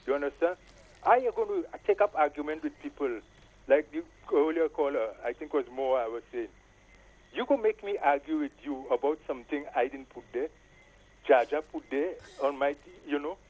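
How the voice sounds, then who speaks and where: A man's voice: on the high side, a little mellow, lo-fi sound. A man with a Saint Lucian Caribbean accent giving emphatic opinions in casual patois-flavoured talk, often appealing to the listener. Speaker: caller to a live talk show. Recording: noisy call-in line.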